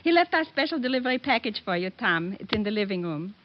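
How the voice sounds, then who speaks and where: A woman's voice: medium pitch, very animated, fast talker, natural voice. An actress playing a fussy, affectionate older widowed mother in an old radio drama, scolding in a motherly way. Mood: bossy, teasing. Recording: dull, noisy old radio recording.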